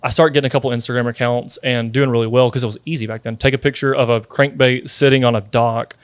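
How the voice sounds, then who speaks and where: Guest, man, 30, mid-pitched, talkative storyteller, dry close mic. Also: steady tone, fast talker, smooth, dry recording. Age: 30s. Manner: chatty, many fillers like "like" and "dude". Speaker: guest, sweet tea company founder. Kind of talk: casual interview storytelling.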